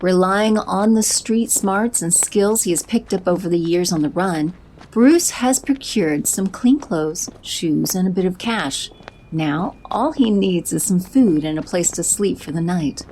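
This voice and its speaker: A woman giving even, descriptive scripted narration for an audio drama. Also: lowish voice, measured pace, slight background noise, rough recording.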